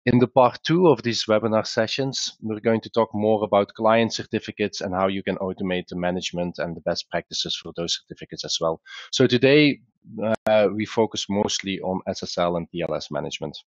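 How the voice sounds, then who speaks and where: A man with a Belgian accent, a company founder presenting a webinar in a conversational, explanatory way, often pausing on "uh" and restarting sentences. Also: lowish voice, dry recording, muffled recording.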